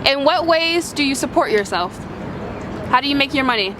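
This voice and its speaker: A woman's voice: high-pitched, expressive, moderate pace. A woman interviewing someone outdoors, asking plain, direct questions. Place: outdoors by a city street. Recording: traffic noise outdoors. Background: passing cars.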